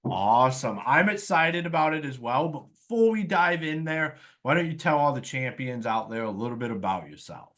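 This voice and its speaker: A man's voice: medium pitch, lively intonation, normal speed, hardly any pauses, fairly bright tone. A man hosting a podcast, upbeat and enthusiastic, introducing guests and reading his own promotional spots. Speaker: podcast host. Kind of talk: podcast hosting and self-read ad.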